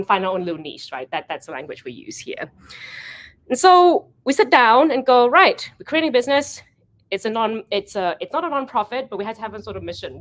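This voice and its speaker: A young woman from Thailand, giving a lively storytelling talk at a product meetup and often correcting herself mid-sentence. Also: medium pitch. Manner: animated storytelling, acting out quoted conversations. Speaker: event founder giving a talk. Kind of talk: meetup presentation.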